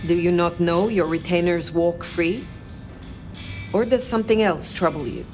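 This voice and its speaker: A woman's voice: on the low side, moderate pace, phone-quality sound, close to an everyday voice. A woman playing a proud, worldly ancient vampire in video game dialogue, composed, formal and aloof. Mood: cool authority. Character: proud, worldly, imperious ancient female vampire, cool and commanding. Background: clear background music.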